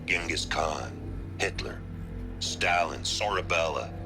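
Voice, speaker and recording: An actor with an American accent playing a grave, weary senior military commander in a game cutscene, speaking formally and deliberately. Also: male voice, low-pitched, animated, music in the background, exaggerated voice. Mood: weary remorse. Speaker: military general. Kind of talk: dramatic game cutscene acting.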